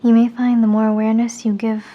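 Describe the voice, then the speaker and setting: A woman with a fairly high, mellow voice guides a meditation. Her delivery is very breathy and soft, often slipping toward a whisper. She gives each instruction in an unhurried, soothing manner. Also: level tone, dull audio.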